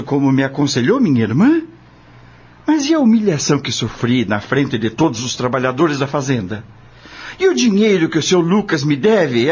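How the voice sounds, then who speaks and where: A man playing a husky, wary farmhand. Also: a little high, lo-fi sound, heavily acted. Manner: questioning, plain-spoken. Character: wary, aggrieved dismissed farm worker, proud but hesitant. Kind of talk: audio drama acting.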